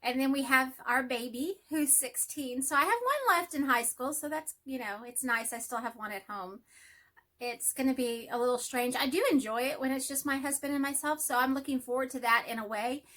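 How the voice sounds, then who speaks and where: Middle-aged Texan woman, nasal, bright, chatty self-deprecating vlogger. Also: high-pitched, fairly quick. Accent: Texas. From Texas. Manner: rambling, friendly, self-deprecating. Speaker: hobby vlogger and math teacher. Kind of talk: casual chat to camera.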